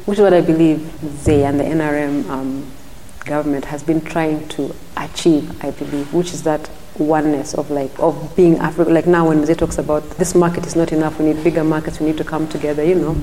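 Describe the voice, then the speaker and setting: A middle-aged Ugandan woman, a guest in an interview, talking thoughtfully with frequent restarts and soft murmurs of agreement. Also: deep voice, little pitch movement, fairly quick, big-room sound, a little hiss or hum, poor audio. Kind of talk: casual interview talk.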